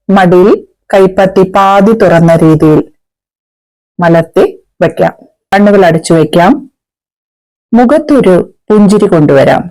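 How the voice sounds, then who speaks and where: A woman leading a guided meditation as a teacher, in a measured, soothing manner with pauses. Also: fairly low pitch, dark tone, poor audio.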